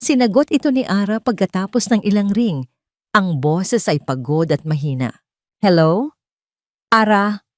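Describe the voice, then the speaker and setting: A woman's voice: medium pitch, normal speed, dark tone, no echo, clean studio sound. A woman narrating a scripted dramatic love story as an audio drama.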